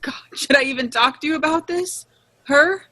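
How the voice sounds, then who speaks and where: A woman playing a distraught, lonely young prisoner in an audio play, halting and shaky, flaring into anger. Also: high voice, bright, forceful tone, no room sound. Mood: shaken, confused. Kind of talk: audio play acting over online stage reading.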